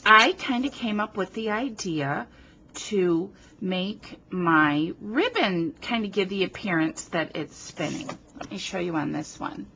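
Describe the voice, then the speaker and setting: A woman from New Jersey talks through a card-making project at a slow, unhurried pace, chatty and quick to poke fun at herself. She sniffles now and then from a runny nose. Her voice is picked up by a camera on a tripod over a craft table, with a faint hiss behind it. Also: on the low side, lively intonation, pushed and bright. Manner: chatty, self-deprecating, talks while working. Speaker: card-making tutorial host. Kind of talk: casual craft demonstration. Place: home craft table, indoors. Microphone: camera on tripod above the table.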